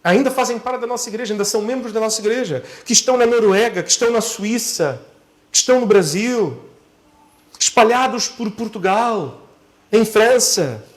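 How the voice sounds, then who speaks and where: A man preaching to a church congregation as a pastor, phrasing his points deliberately and repeating key phrases for emphasis. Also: sits high, animated.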